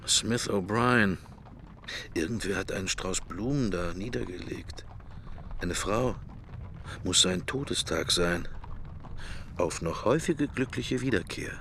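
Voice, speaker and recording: Radio play role: low-pitched, wistful middle-aged man, inner monologue in short fragments, faint noise behind. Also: unhurried, creaky, long pauses, fairly bright tone, heavily acted. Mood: musing. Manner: musing in short, clipped fragments.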